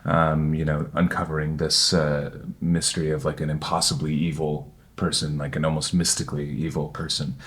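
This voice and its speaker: Male actor in conversation, deep pitch, thoughtful and a little halting as he analyses. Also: level tone, fairly bright tone. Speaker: table read actor. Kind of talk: casual analytical discussion.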